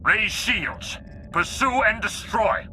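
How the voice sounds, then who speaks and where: A man playing a grave, commanding Sith lord in a video game, with a solemn, deliberate and authoritative delivery. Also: sharp tone, faint music behind, exaggerated voice. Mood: urgent command. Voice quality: very deep, gravelly. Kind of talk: video game cutscene acting.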